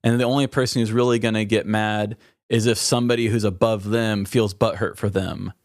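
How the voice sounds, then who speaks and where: A man's voice: lowish voice, little pitch movement, fairly quick, no room sound, studio quality. An American man co-hosting a comedy podcast, telling stories in a casual, rambling, conversational way. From US Midwest. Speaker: podcast co-host.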